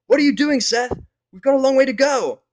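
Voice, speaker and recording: Brash, headstrong pony girl, bossy and righteous, high forceful delivery male actor, for fan audio drama. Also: fairly quick. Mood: demanding. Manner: forceful, scolding, exclamatory. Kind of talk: fan fiction audio drama character acting.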